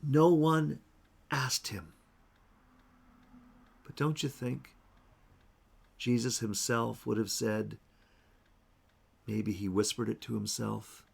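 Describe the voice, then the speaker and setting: A man, a minister, giving a measured, solemn scripture reading and reflection at a church service. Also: mid-range voice, fairly even intonation, vocal fry, breathy edge.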